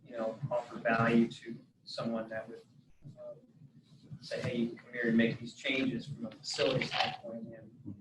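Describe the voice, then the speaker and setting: A man in a casual meeting discussion, speaking haltingly with fillers. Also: medium pitch, level tone, echoey room, far from the mic, noisy background. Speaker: meeting participant.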